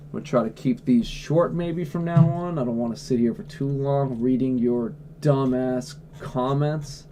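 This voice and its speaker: Male streamer at home, casual and blunt, mellow tone, faint background noise. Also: medium pitch, normal speed. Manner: blunt, offhand, swears freely. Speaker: livestreamer answering chat questions. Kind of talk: casual livestream chat. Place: at home indoors.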